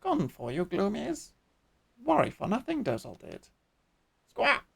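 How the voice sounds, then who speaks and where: A woman's voice: medium pitch, wildly swooping pitch, a little slow, gravelly, breathy edge, pitched above the performer's own voice, heavily acted. A woman voicing a small, chirpy beast-tribe creature who speaks with backwards word order. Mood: cheerful.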